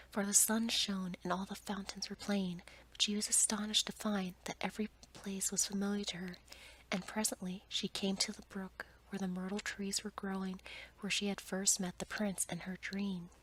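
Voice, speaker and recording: A woman reading a bedtime story aloud for ASMR in a soft, breathy, measured whisper. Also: medium pitch, little pitch movement, low-quality recording.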